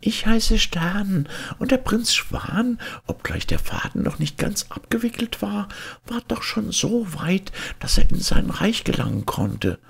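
A man's voice: sits high, big pitch swings, unhurried, gravelly, breathy edge, voice pushed up, full character voice. A man voicing a kindly, worried old woman in a storybook fairy tale. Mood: gentle guiding.

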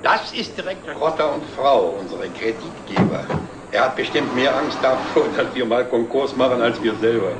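Casting: man to play wry company boss, medium pitch, TV drama; roomy, noisy vintage sound. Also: lively intonation, moderate pace, far from the mic, natural voice. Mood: wry, amused. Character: wryly mocking male company director, sardonic and self-ironic. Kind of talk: scripted television drama dialogue.

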